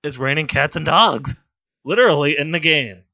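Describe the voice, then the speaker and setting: Man, hoarse, bright forceful tone, rambling self-deprecating game commentary, dull lo-fi sound. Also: fairly high pitch, expressive, normal speed. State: hoarse from laryngitis. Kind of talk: casual gameplay commentary voice-over.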